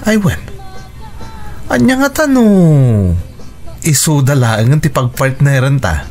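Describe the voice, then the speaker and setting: A man's voice: medium pitch, wildly swooping pitch, takes it slowly, darkish tone, soft background music, full character voice. An actor playing a playful, eager young man in a radio drama, with an Ilocano accent. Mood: excited. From Philippines.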